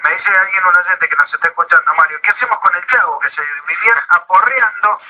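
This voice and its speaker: A man's voice: high-pitched, fairly quick. An Argentine man hosting a radio show, chatting casually and putting questions to a guest. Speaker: radio host. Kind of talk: casual radio chat, interviewing. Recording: thin phone-like radio sound.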